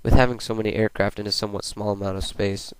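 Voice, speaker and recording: A young man narrates a scripted student presentation in a plain, read-aloud way. His voice is smooth and clean, with very little rise and fall. The sound is dry, with no room echo. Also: lowish voice, flat delivery, fast talker.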